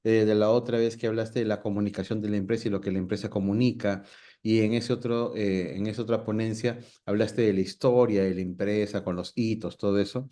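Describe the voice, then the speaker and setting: A man's voice: on the low side, steady tone, a little fast, dark tone. A man, hosting a talk and reflecting thoughtfully with many fillers. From Peru. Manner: reflective, asks the guest's view, many fillers. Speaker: host. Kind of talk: casual conversational talk.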